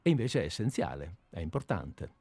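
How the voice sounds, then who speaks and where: A man's voice: low voice, very animated, a little mellow, husky, no room sound. A man discussing literature informally as a host, hesitant and often repeating himself as he searches for words. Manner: hesitant, halting, searching for words with frequent repetitions.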